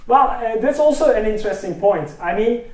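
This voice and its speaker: A man's voice: high voice, animated, a little fast, darkish tone, distant mic. A man with a Turkish accent giving a technical talk with a live demo, hesitant, with many fillers and restarts. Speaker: speech researcher giving a talk. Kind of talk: conference presentation with live demo. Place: lecture room. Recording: roomy hall from off-mic.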